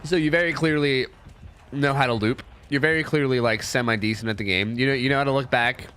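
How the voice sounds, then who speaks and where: A man's voice: medium pitch, a little fast, pushed and bright, smooth. A young man with a cold, commentating gameplay casually, sniffly, profane and rambling with lots of restarts. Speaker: gameplay reviewer.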